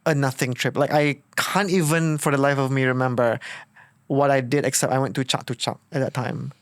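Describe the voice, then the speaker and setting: A man's voice: medium pitch, fairly quick, clean tone, no echo. A man in his thirties with a Bruneian accent, telling personal stories informally as the guest of an interview, often acting out his own past thoughts. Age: 30s. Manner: informal, animated retelling with quoted thoughts. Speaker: podcast host being interviewed. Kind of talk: casual personal storytelling in an interview.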